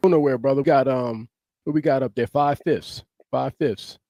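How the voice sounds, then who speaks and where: Man, show host, mellow tone, fast casual delivery, dry close sound. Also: mid-range voice, no room sound. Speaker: host welcoming a caller. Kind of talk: casual chat.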